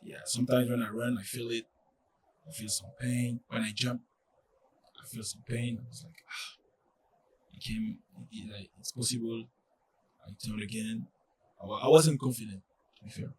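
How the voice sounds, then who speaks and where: Young man, French accent, non-native English, halting, lots of fillers, distant mic, faint noise. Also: mid-range voice, steady tone, darkish tone. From France. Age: young adult. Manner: halting, many fillers and restarts. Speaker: college soccer player, guest. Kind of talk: casual interview.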